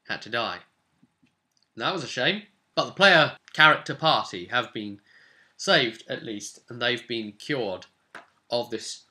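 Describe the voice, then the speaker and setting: A man's voice: mid-range voice, sharp tone. A man informally retelling a tabletop role-playing session as its game master, slipping characters' remarks into the story. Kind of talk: informal storytelling recap to camera.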